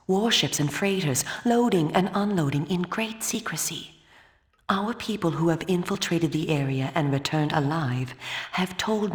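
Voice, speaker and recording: A loyal, determined vampire rebel from a fantasy game. Her voice sits slightly low for a woman. She speaks with earnest, formal conviction in a dramatic cutscene style.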